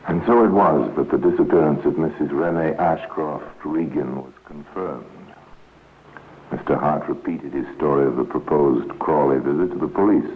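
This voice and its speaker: An actor as the male narrator of a 1950s radio crime drama, telling the story in a measured, grave and wry manner. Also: very deep voice. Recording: tinny, noisy old radio recording.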